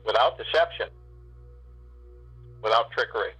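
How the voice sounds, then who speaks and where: A man guiding a spiritual meditation, speaking in slow, drawn-out phrases with long pauses. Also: on the low side, expressive, sharp tone, breathy edge, faint music behind, poor audio. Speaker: meditation guide.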